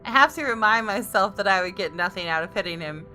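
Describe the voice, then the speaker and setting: A woman gaming creator reading visual novel narration aloud, with expressive reactions. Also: mid-range voice, quick pace, faint music behind. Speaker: gaming YouTuber.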